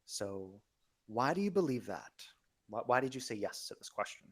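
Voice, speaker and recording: A man with a medium-pitched voice hosts a casual interview. He speaks haltingly, working out his phrasing aloud as he responds and asks questions. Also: sing-song, breathy edge. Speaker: podcast host.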